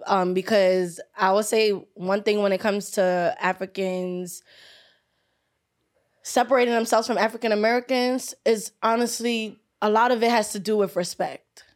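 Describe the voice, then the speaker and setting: A woman's voice: mid-range voice, steady tone, normal speed. A woman hosting a podcast in casual chat, blunt and outspoken. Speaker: podcast host.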